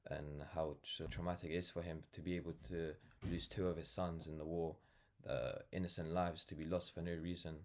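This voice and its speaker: A young man from London with a notably low voice. He talks off the cuff in a reflective, earnest monologue. His delivery rambles, leaning on "like" and "you know" as he goes. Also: level tone, brisk, few pauses, darkish tone, slight background noise. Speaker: vlogger, brand founder. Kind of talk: casual reflective monologue to camera.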